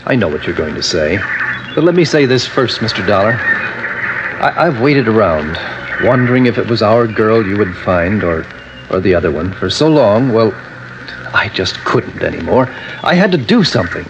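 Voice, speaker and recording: An actor playing an anxious middle-aged father in an old radio drama, earnest, folksy, halting and pleading. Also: fairly low pitch, very animated. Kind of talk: vintage radio drama acting. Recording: dull, noisy old radio recording.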